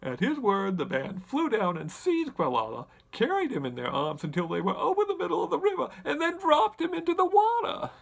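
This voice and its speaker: Man playing an animated, high-pitched winged monkey king. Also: fairly quick, vocal fry, few pauses, full character voice, poor audio. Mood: storytelling. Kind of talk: storybook character narration.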